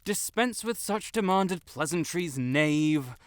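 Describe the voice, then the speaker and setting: Haughty, wildly melodramatic wizard. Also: male voice, on the high side, expressive, takes it slowly, dry recording. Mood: haughty, dramatic. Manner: pompous, flowery, theatrical, blurting and self-correcting. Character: haughty, melodramatic male wizard, secretly fond tsundere. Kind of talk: scripted audio roleplay to the listener.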